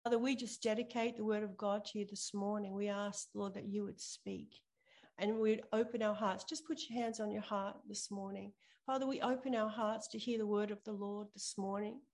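A woman's voice: mid-range voice, fairly even intonation, normal speed, a little mellow. A woman pastor giving a sermon and prayer, speaking earnestly and repeating phrases for emphasis. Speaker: pastor preaching to a church.

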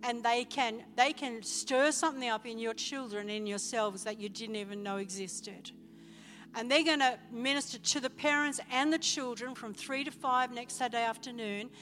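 A woman's voice: a little high, moderate pace, a bit bright. A woman pastor giving enthusiastic church announcements from the pulpit, emphatic and calling out to the congregation. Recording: reverberant church hall with music behind.

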